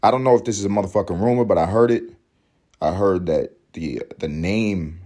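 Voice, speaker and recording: A man in his thirties with a very low voice, rough and scratchy from a hangover. He talks loosely and profanely, circling back to repeat his points for emphasis. A laid-back solo podcast host from New York City. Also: darkish tone. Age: 30s. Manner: profane, rambling, emphatic repetition. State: hoarse, hungover. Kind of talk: casual solo podcast monologue.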